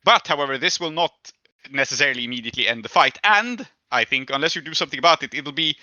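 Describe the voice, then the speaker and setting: A man's voice: on the high side, animated, quick pace, bright, forceful tone, no echo, lo-fi sound. A man casually narrating and refereeing a tabletop game as its game master.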